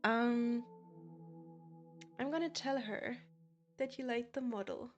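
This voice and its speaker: A German-accented woman with a fairly high voice chats and giggles with her viewers at a slow, easy pace. Soft music plays faintly behind her. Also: highs cut off. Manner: giggly, chatty, often laughs between sentences. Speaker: game streamer. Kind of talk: casual live-stream chat with viewers.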